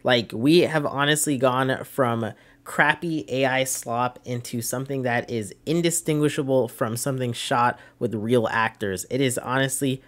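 A man's voice: mid-range voice, fairly even intonation, normal speed, a bit bright, no echo. A man hosting a casual video review, narrating with enthusiasm and slang. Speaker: host, reviewer.

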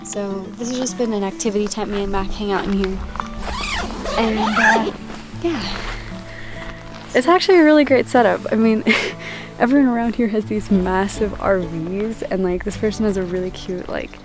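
Woman, casual chat, upbeat, relaxed, campsite tent, mid pitch, music behind. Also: moderate pace. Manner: relaxed, upbeat, talks with a few fillers. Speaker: camping companion. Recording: background music.

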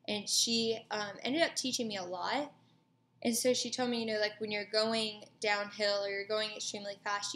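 A woman's voice: mid-range voice, a bit bright, slight background noise. A woman in her twenties with an American accent, giving a casual, matter-of-fact spoken reflection as a student, recorded indoors on a webcam. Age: 20s. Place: small furnished room indoors.

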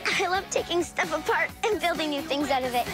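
A woman's voice: high-pitched, brisk, natural voice. A female performer playing an eager young girl scientist in an advert. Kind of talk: advert acting. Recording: loud music under voice.

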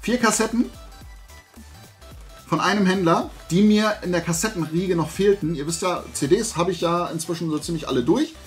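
Higher-pitched man, blunt and chatty. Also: moderate pace, faint music behind. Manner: opinionated, blunt, colloquial with mild swearing. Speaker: host of a collecting haul vlog. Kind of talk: casual chat to camera. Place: small indoor room lined with collectibles.

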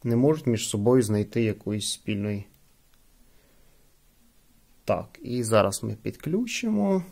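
Gravelly man demonstrating gadgets. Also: fairly low pitch, animated, unhurried, a little mellow. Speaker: gadget unboxing reviewer. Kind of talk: casual hands-on product demonstration. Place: indoors at a wooden table.

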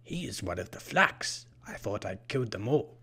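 A menacing vampire-like creature from a horror tale, played by a man reading aloud. The voice is fairly low and rough with breath. He speaks in an odd, deliberate manner, haughty and sure of his own superiority. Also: sing-song, sharp tone, exaggerated voice. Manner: odd, deliberate way of talking with drawn-out phrases. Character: menacing, haughty purple-skinned vampire-like killer, male, unhurried.

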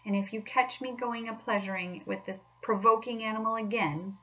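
A woman voicing a practical, exasperated male water rat in a storybook, speaking in a brisk, firm, no-nonsense way. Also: medium pitch, creaky, bright, forceful tone, dull audio.

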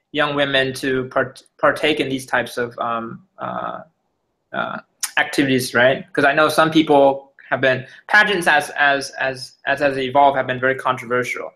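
A man hosting a live online interview, asking questions haltingly with many restarts and fillers. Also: medium pitch, bright, forceful tone, rough recording. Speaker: interview host.

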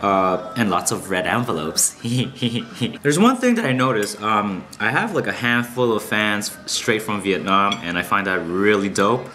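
A man's voice: on the low side, animated, a little slow, pushed and bright. Laid-back young man vlogging at home. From Canada. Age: 20s. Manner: laid-back, slangy, talks straight to viewers. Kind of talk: casual vlog chat to camera. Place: small home room, indoors.

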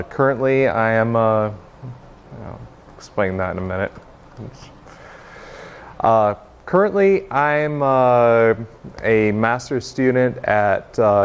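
A young man giving an informal technical demo to a room, speaking haltingly with frequent pauses. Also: fairly low pitch, smooth. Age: young adult. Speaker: presenter giving a software demo. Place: meeting room, standing by projection screen. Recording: noisy, muffled room recording.

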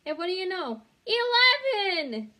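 A woman voicing a feisty, excitable little kindergarten girl in a children's storybook, exclaiming in childlike grammar. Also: very high-pitched, unhurried, voice pushed up, exaggerated voice. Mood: thrilled.

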